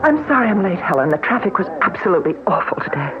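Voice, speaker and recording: An actress playing an anxious, earnest woman in a radio mystery drama, talking quickly and with worry. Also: on the low side, sing-song, music in the background. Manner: quick, breathy and worried. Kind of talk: 1970s radio drama acting. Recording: tinny old radio broadcast recording.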